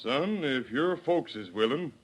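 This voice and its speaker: A man's voice: mid-range voice, expressive, measured pace. An actor playing a commanding Old West man in a 1930s radio drama, with an authoritative American delivery. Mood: indignant, decisive.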